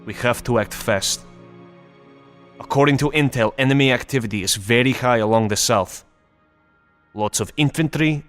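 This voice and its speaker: A man's voice: medium pitch, fairly bright tone, lightly acted. Man acting a commanding squad leader over music. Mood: urgent, businesslike. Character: male North Vietnamese squad commander, steady, resolute military leader. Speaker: squad leader giving mission briefings. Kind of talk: scripted video game cutscene briefing.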